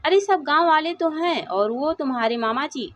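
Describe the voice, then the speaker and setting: A woman storyteller voicing a meek, self-sacrificing old mother, pleading gently in a moral story. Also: high voice, animated, normal speed, slight background noise, pitched above the performer's own voice. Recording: dull, lo-fi sound.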